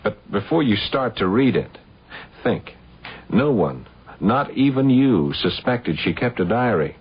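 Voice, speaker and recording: An actor playing a brisk, shrewd hired investigator with an easygoing, familiar manner in a radio drama. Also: male voice, on the low side, big pitch swings, slight background noise. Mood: cautioning. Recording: muffled old radio broadcast.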